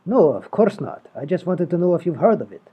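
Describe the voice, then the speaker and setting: Self-important architect with a foreign accent, husky and very animated, for podcast sketch comedy. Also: medium pitch, fairly quick. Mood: pompous, indignant. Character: Petrov Pikanitsky, pompous male foreign architect with a cold. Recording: dull lo-fi audio.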